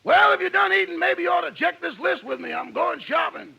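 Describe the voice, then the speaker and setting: A man playing a plain-spoken, wry household servant with a raspy voice in 1950s radio comedy. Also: extremely high pitch, animated, brisk, muffled recording, heavily acted. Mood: wry, obliging. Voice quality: raspy, gravelly. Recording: noisy vintage radio broadcast recording.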